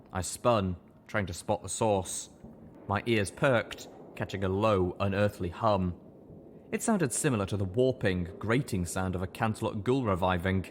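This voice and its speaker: A man reading a first-person story aloud as an expressive narrator, with lively delivery. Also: fairly low pitch, a little hiss or hum. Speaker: narrator of an audiobook fan fiction reading.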